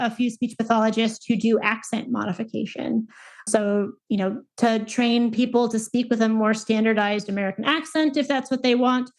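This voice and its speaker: A woman in her thirties explains her field in a relaxed interview, pausing on fillers and restarting her phrases. Her voice sits a little higher than most. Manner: thoughtful, with many fillers and restarts. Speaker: guest, speech pathologist and researcher. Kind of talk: conversational interview answers.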